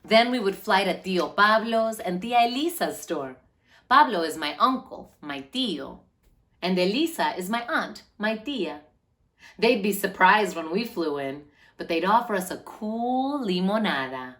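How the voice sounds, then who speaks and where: A woman with a medium-pitched voice reads a picture book aloud to children. Her delivery is expressive and deliberate, lingering on key words as a storyteller does. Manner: animated, deliberate phrasing with drawn-out words.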